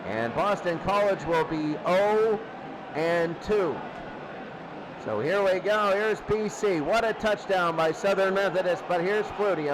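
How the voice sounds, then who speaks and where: Male football radio announcer, high register, clipped repetitive calls, noisy recording. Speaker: play-by-play sports announcer. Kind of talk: live radio-style football commentary.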